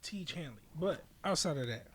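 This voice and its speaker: A man's voice: fairly high pitch, lively intonation, moderate pace, fairly bright tone, slightly rough. A man hosting a podcast in casual chat, talking in an opinionated, profane and rambling way. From military family, lived in Japan growing up. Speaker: podcast host.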